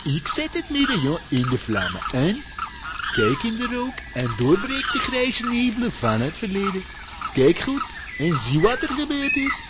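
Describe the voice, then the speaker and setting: A performer playing a sly old male magician in a children's radio play, theatrical and incantatory, over loud background noise. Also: medium pitch, huge pitch swings, unhurried. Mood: mysterious, incantatory.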